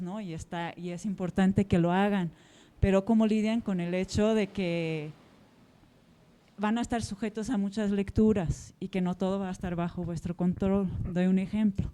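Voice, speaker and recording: A woman moderates a public literary discussion in a smooth, softly mellow voice. She is from Portugal. She builds her questions in a reflective, roundabout way, often adding little tag questions as she goes. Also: mid-range voice. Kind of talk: reflective spoken question with personal anecdote at a public talk.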